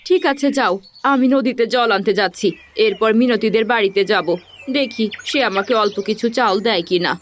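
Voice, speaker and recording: Cartoon casting: female actor as fretful, nagging poor housewife, high voice, beseeching delivery. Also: brisk, slight background noise, lightly acted. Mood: resigned. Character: worried, nagging wife and mother in a poor village. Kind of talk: cartoon dubbing.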